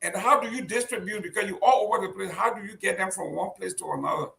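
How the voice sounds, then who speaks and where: A man's voice: high-pitched, fast talker, creaky, bright, forceful tone. A man hosting an interview, asking questions hesitantly with many filler sounds. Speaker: interview host. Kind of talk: conversational interview.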